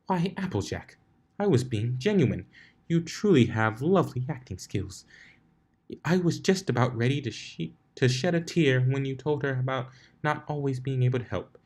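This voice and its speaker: A man voicing a sly, theatrical, refined female unicorn in a story reading, speaking in an affected, flattering way. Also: medium pitch, moderate pace, voice pushed up, natural voice. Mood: smug.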